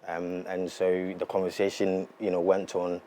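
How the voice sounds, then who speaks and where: A man's voice: low voice, monotone, normal speed, dark tone, slight background noise. A man, speaking politely and hesitantly as an interview guest. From born in Ghana, moved to UK. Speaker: guest, sports agency partner. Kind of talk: measured interview talk.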